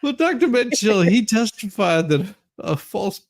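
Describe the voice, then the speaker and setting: High-pitched man, rambling comic banter. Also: lively intonation, a little slow, creaky. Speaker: co-reader in a dramatic reading stream. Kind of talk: comedic dramatic reading and banter.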